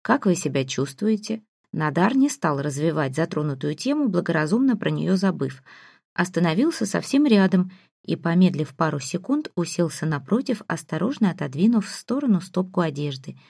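Low-voiced woman narrating fiction, close and dry. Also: very animated, brisk, creaky, darkish tone, husky, no echo. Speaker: audiobook narrator. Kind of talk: expressive first-person scripted fiction narration.

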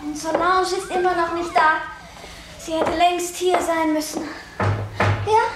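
Very high-pitched fretful woman. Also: flat delivery, measured pace, roomy sound, very noisy. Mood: anxious, waiting. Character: fretful woman at a girls' boarding school. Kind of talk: melodramatic radio play acting.

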